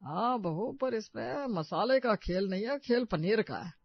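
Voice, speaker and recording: A woman's voice: mid-range voice, lively intonation, a little slow, creaky, husky, dry recording, muffled recording, exaggerated voice. A woman voicing an approving, food-loving father-in-law in a dramatized moral story. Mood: delighted praise. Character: food-loving, approving male head of the household.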